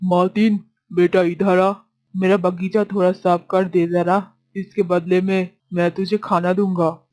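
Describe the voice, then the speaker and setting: A woman acts out a crafty village uncle in a cartoon tale. The voice is on the low side, with a dry, close sound. He is gruff, miserly and dismissive. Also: flat delivery, a little slow, a little mellow, no room sound, close to an everyday voice. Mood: bossy, wheedling. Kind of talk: children's cartoon story voice acting.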